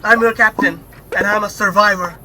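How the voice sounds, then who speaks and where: Man acting as vain heroic space captain, pompous, grandiose, sci-fi comedy audio drama, clearly noisy recording. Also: high-pitched, fairly even intonation, normal speed, close to an everyday voice. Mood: triumphant, boastful. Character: male starship captain, vain, dramatic, heroic, self-assured. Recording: noisy hobbyist home recording.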